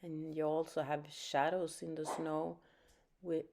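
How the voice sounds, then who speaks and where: Woman, Swedish accent, mellow, low voice, soft-spoken art teacher. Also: flat delivery, measured pace, a little hiss or hum. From Sweden. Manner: soft-spoken, thinking aloud with fillers. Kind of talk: unscripted painting tutorial.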